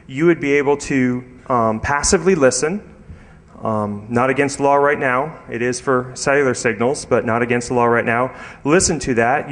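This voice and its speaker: A man speaking as a presenter at a conference, giving an informal technical talk in a hesitant, rambling way full of ums and you-knows. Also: medium pitch. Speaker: conference speaker, security researcher. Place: live talk in a conference room.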